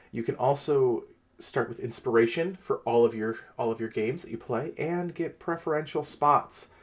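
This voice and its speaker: A man giving a casual, conversational update as the host of a tabletop gaming channel. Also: medium pitch.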